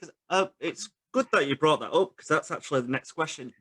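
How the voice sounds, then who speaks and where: Man, interview host, somewhat high pitch, casual questioning, conversational. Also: brisk, fairly bright tone, slightly rough.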